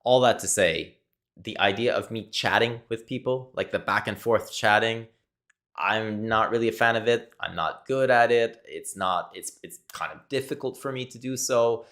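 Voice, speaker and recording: Deep-voiced Québécois French man thinking aloud. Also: moderate pace, fairly bright tone. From Quebec, Canada. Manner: searching, repetitive, thinks aloud. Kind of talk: solo monologue to camera. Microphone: camera mic, talking to camera.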